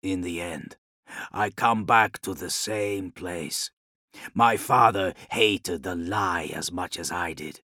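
A male narrator as a grave warlord khan from an audiobook, proud and brooding. His voice is gravelly and dry, with slow, deliberate phrases that rise and fall expressively. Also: on the low side, fairly bright tone, dry recording, heavily acted. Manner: measured, deliberate, formal phrasing.

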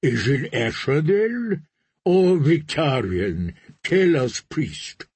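A man's voice: a little high, big pitch swings, slow pace, darkish tone, slightly rough, no room sound, exaggerated voice. A man doing a blunt, demanding island lord. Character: fat, proud male island lord.

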